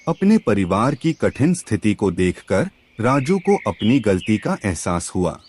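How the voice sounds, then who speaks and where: A man's voice: on the low side, a little mellow, slight background noise. A man narrating a scripted moral story for children.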